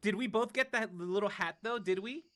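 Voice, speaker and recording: A woman's voice: mid-range voice, normal speed, a bit bright. A woman with a Virginia Southern accent chatting playfully while gaming with a friend, often laughing. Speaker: streamer guest. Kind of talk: casual chat while gaming.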